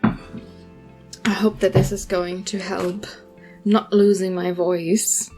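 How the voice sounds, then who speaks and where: A woman hosting a draw-along at her art desk, chatting casually with lots of fillers, her voice a little hoarse. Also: lowish voice, slow pace, soft background music. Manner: relaxed, rambling, many fillers. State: slightly hoarse. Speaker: art channel host. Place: home art desk, indoors.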